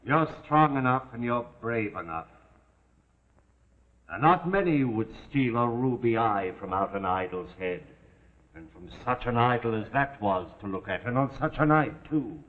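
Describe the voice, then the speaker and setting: An actor playing a cunning, commanding gentleman leader of thieves, unhurried and coolly scornful, in 1940s suspense style. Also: medium pitch, expressive, lightly acted. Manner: unhurried, superior, coolly scornful of his men. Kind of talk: 1940s live television suspense acting. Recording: muffled, narrow-band 1940s TV broadcast.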